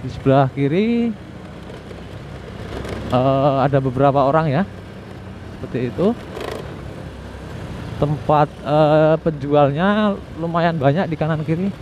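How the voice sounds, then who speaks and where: A male motovlogger talks casually as he rides through city streets. His voice sits a little high and he speaks in an unhurried way, dropping asides to himself and his viewers. The sound is somewhat dull, with clear engine and traffic noise around him. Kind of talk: casual riding commentary. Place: outdoors, riding a motorbike through city streets. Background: vehicle engines and street traffic noise.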